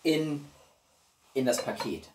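A echoey, slightly distant recording of a man in his forties at mid pitch. He hosts a casual video chat from a small room, talking to viewers with expressive emphasis and pauses for effect. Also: a little hiss or hum. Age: 40s. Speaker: YouTube host. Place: small living room indoors. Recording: roomy echo, distant mic.

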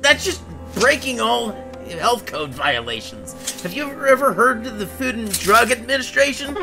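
Lady doing whiny sarcastic victim in a joke dub, creaky swearing, music behind. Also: on the high side, sing-song, moderate pace. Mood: disgusted. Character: disgusted, complaining adult victim of a bully. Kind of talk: improvised fan dub comedy.

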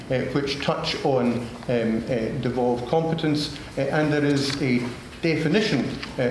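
Older male panelist, slow, halting delivery with lots of ums, recorded from afar in a reverberant hall. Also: mid-range voice. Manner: halting, many filler sounds, measured. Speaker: panel speaker. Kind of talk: policy panel talk. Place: large formal room with audience. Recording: echoey hall, room noise.

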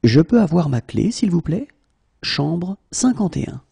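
A man who is a native French teacher, slowly drilling short phrases, saying each one whole and then word by word. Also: on the high side, sing-song, gravelly, dark tone, husky. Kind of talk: slow phrase-by-phrase language drill.

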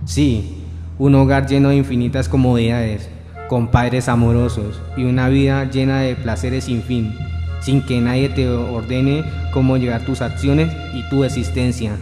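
Devilish vagabond tempter , male actor, radio play. Grandiose, persuasive, monotone delivery, with music underneath. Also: mid-range voice, unhurried, lightly acted. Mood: tempting, solemn. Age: young adult. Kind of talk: student radio drama reading. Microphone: shared handheld microphone. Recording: echoey hall-like room, music behind.

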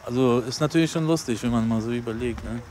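A young man and rapper talking casually in an outdoor interview, relaxed and conversational. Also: medium pitch, quick pace, a little hiss or hum. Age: young adult. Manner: relaxed, conversational, says "weißt du" often. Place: outdoors in an urban garden.

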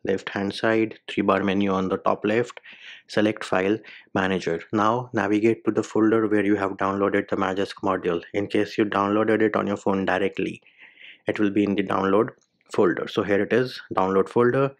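Guy doing a casual phone how-to voiceover, lowish voice, pretty monotone, short clipped instructions. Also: moderate pace. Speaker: tech tutorial video host. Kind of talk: step-by-step phone tutorial voice-over.

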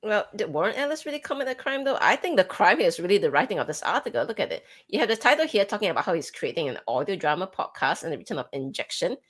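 Female livestream co-host, brisk pace, expressive pitch swings, casual but pointed opinion delivery. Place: indoor room, livestream on webcam.

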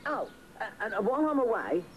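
A man voicing an excitable, boastful puppet in an interactive children's show, teasing and exclaiming to the audience. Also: extremely high pitch, a little fast, muffled recording. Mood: boastful, chatty. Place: outdoors at a puppet booth. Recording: noisy outdoor puppet show recording.

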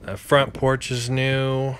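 A man in his thirties, a landlord giving a casual, halting, unscripted walkthrough voiceover. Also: mid-range voice, monotone, a bit bright, a little hiss or hum. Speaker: landlord and home renovator.

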